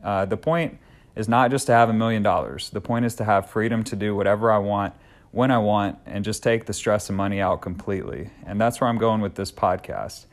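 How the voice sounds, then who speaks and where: A man's voice: fairly low pitch, fairly quick. A man in his thirties hosting a solo podcast, talking in an earnest, upbeat, conversational way with occasional fillers. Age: 30s. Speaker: podcast host.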